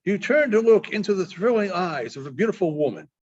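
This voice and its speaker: A man reading short stories aloud in an expressive storytelling style, as a librarian does. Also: sits high, a little fast. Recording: dull, muffled lo-fi sound.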